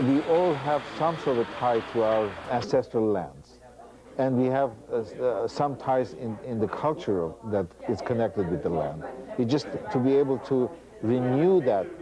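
A man answering interview questions hesitantly, with many fillers and restarts. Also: mid-range voice. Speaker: volunteer interviewee.

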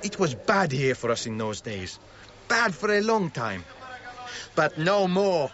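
High-voiced eager Cretan Greek man. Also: big pitch swings, a little slow, fairly bright tone, muffled recording. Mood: earnest. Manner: simple, careful English phrases. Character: eager Greek-speaking Cretan workman, proud and enthusiastic. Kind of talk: radio drama acting.

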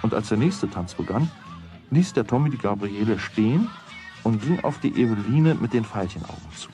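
A middle-aged man narrating a children's radio play as a calm storyteller. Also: lowish voice, moderate pace, faint music behind, natural voice. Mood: calm narration.

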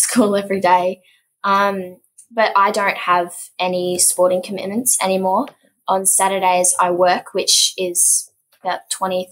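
A teenage student with an Australian accent chatting with many fillers on a video call from a school office. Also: female voice, on the low side, a bit bright, rough recording. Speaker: student guest. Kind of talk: casual chat.

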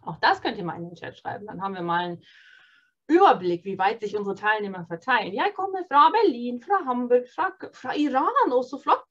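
A woman teaching an online beginner Norwegian course, cheerful and encouraging, modelling phrases slowly and clearly. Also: a little high, big pitch swings, a bit bright, muffled recording. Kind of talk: online beginner language lesson.

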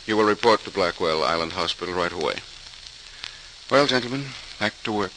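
An actor playing a grave, authoritative senior hospital doctor in an old-time radio drama, formal and commanding. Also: male voice, sits low, lively intonation, fairly quick. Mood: grave, brisk. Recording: old radio recording, faint hiss.

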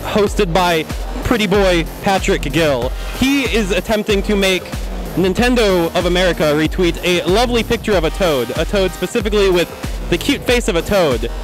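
Male voice-over, deadpan, high pitch, music bed. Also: moderate pace, rough recording.

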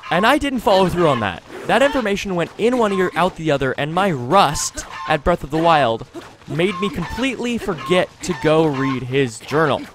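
A man's voice: on the high side, lively intonation, normal speed. A man hosting a gaming video with chatty, wry, self-deprecating casual commentary, speaking close to a desktop microphone. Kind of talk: casual let's-play commentary. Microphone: close desktop USB microphone. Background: game music.

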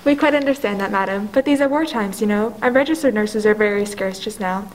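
A woman playing a brisk, unmoved 1940s receptionist and operator in a radio play, polite and official. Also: mid-range voice, close to an everyday voice, rough recording. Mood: formal, unmoved.

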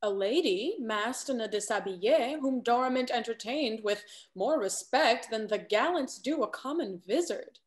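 A woman's voice: fairly high pitch, expressive, unhurried, hardly any pauses. A young woman playing a scheming, falsely sweet genteel confidante in a Restoration comedy, with an affected, gossipy manner. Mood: feigned concern. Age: young adult. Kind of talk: theatrical period stage acting over video call. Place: indoor room at home, on video call.

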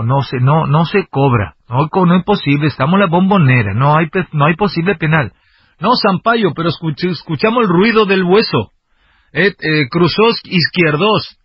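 A mock referee with a faint Latin lilt, voiced by a man on the radio, halts and dismisses the call. The voice is husky and sits a bit high. It comes through a dry, muffled broadcast sound. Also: no room sound, heavily acted. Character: man doing a Latin-accented Brazilian referee. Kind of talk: radio banter.

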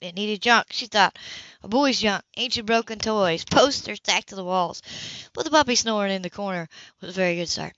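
A woman's voice: medium pitch, normal speed, a bit bright, no echo, lo-fi sound. A woman reading a novel aloud as an amateur audiobook narrator. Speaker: audiobook reader.

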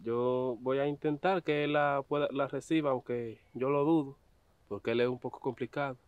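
A man's voice: mid-range voice, fairly even intonation, moderate pace, clear, resonant tone, dry recording, dull audio, lightly acted. A young man acting as an obedient servant at a farm gate, who talks in a teasing, mocking way. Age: young adult. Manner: teasing, chuckling. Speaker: actor. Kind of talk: web drama acting.

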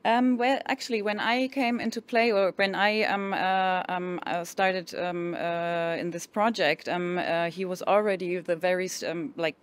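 Woman interpreter in her 30s, kinda hesitant, pauses a lot while translating. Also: fairly low pitch, fairly even intonation. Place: indoor festival interview room.

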